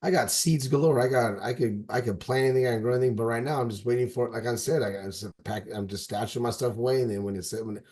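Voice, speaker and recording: A man in his forties, mid-pitched, chatting on a podcast in a rambling, emphatic way. He keeps cutting himself off and starting over, pressing hard on each point. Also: quick pace, few pauses, darkish tone. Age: 40s. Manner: rambling, emphatic, with frequent restarts. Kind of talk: casual podcast chat.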